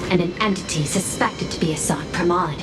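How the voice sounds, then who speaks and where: A polite game robot voiced by a woman with a lowish feminine voice over clear background music. She delivers analysis in formal, clipped statements and stays precise and emotionless. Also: fairly quick, natural voice, rough recording. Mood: clinical, precise. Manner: formal, clipped technical announcements. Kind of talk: scripted video game voice acting. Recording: loud music behind.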